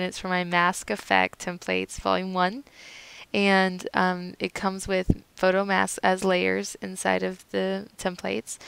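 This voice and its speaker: Young adult woman, slightly hoarse, crisp and dry recording, relaxed chatty teaching. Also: medium pitch, dry recording, low-quality recording. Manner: chatty, relaxed, with fillers and self-corrections. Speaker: tutorial host. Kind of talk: casual screen-recorded software tutorial.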